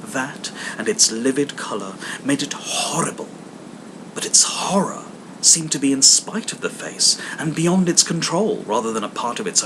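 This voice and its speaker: Guy reading an old ghost story aloud, dramatic storyteller delivery, mid pitch, noticeable rumbly background noise. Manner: dramatic, with emphatic stresses and hushed drops. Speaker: audiobook reader. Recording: clear background noise, low rumble and hum.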